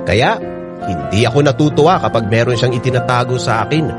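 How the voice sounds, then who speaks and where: A man's voice: mid-range voice, expressive, fairly quick. A man reading a listener's letter as scripted, dramatic first-person narration on a radio drama program. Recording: loud music bed under the voice. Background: loud music.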